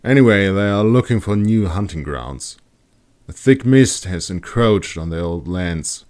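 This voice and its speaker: A man's voice: deep voice, lively intonation, measured pace, a little mellow. A man playing a bold, curious expedition leader with an assured, explanatory manner in an audiobook. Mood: explaining.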